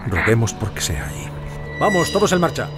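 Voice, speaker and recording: An actor playing a firm, urgent police officer who leads a raid in a true-crime reenactment, giving orders. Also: male voice, mid-range voice, wildly swooping pitch, fairly quick, over music. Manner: barked orders, firm negotiating.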